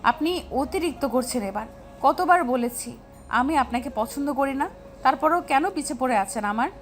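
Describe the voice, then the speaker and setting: Woman doing a feisty teen schoolgirl for an audio story, high voice, gets annoyed easy, music behind. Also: lively intonation, moderate pace. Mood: angry, indignant. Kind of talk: Bengali audio story acting.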